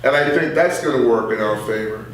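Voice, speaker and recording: A man's voice: mid-range voice, fairly quick. Older man in a very echoey room. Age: older, 60s or above. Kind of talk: casual political discussion. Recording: echoey room, distant from mic.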